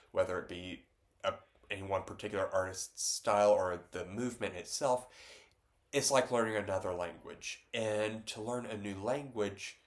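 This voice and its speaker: Man, stuffed-up nose, lowish voice, loose rambling talk, many ums. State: stuffed up, congested. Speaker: painter talking about his own painting. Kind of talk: casual reflective talk to camera.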